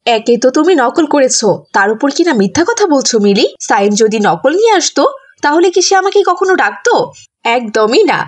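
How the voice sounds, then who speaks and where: A woman's voice: high-pitched, sing-song, moderate pace. A woman voicing a strict female schoolteacher in a children's cartoon, instructing like someone used to being obeyed. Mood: angry scolding. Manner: scolding, instructive, ends orders with check questions.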